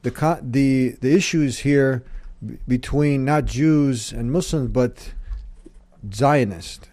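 A man with a middle-pitched voice interviews his guests. He frames his questions carefully and restarts now and then. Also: takes it slowly, a little mellow.

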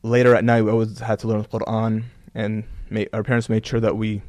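Man, low-pitched, earnest, reflective, dry close sound, interview guest. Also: level tone, brisk, darkish tone, no room sound. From Kuwait and Canada. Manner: earnest, reflective, many "you know" fillers. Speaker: guest, religious content creator. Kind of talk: casual interview talk.

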